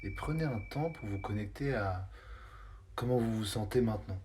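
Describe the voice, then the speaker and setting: Guy w a low voice doing guided meditation, takes his time, short phrases w gaps. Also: lively intonation, a little mellow, slight background noise. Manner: unhurried, hesitant, spaced-out short phrases. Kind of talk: guided body scan meditation.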